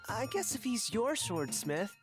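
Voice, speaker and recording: A woman voicing a curious, easily alarmed youngster in an anime game, expressive and blunt. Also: fairly high pitch, a little slow, music in the background.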